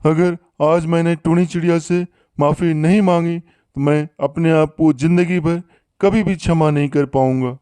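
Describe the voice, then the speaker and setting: A man's voice: on the high side, fairly even intonation, normal speed, warm tone, smooth, no echo, natural voice. A man playing a bossy male hawk in a cartoon story, with a theatrical, demanding manner. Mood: remorseful, pleading. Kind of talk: Hindi cartoon story voice acting.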